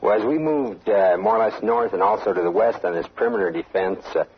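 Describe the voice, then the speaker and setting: An American man speaks as a soldier giving his account, hesitant and conversational. His voice is low and husky, on a dull old radio recording. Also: a little fast. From United States. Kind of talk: conversational interview recollection.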